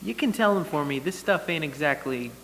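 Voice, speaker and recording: A man acts out a grumbling, sarcastic sound effects man from a 1940s radio comedy. His delivery is animated, with big pitch swings and a bright, forceful edge. The recording has the long reverb of a hall. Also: fairly quick. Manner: wisecracking, grumbling. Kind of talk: old-time radio comedy acting on stage. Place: stage in a hall, live audience performance. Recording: echoey hall reverb, hissy background noise.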